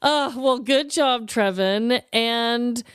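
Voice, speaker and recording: A woman's voice: a little high, slow, clean tone, no echo, clean studio sound. A woman co-hosting a podcast in a casual, comedic chat style. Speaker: podcast co-host.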